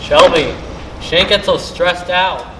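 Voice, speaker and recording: A man's voice: high voice, measured pace, background noise. A young man playing a laid-back, joking teenage jock in a school play, recorded on an echoey stage. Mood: smug, joking.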